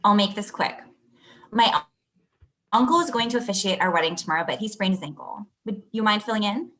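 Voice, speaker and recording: A woman's voice: mid-range voice, fairly quick, a bit bright, natural voice. A young woman playing a polite, patient thirty-year-old businesswoman in a comedy script read over a video call. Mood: polite, tactful. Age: young adult. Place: indoor room at home, video call. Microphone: computer mic on video call.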